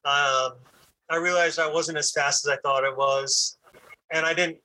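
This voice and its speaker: A man of Puerto Rican background chatting candidly on a podcast, halting and restarting as he talks. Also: fairly high pitch, fairly even intonation, pushed and bright, poor audio. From Puerto Rican family. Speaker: podcast guest. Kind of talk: casual conversation.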